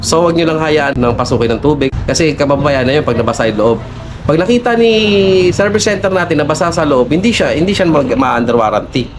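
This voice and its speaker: A man's voice: a little high, expressive, a little fast, background noise. A Filipino man working as a shop salesperson, explaining products in a polite, conversational way and mixing in English terms.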